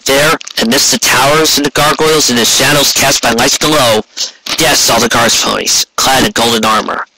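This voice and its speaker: A man's voice: medium pitch, steady tone, moderate pace. A man reading scripted story narration on an amateur recording with noise and clicks. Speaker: audiobook reader. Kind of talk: scripted fan fiction narration.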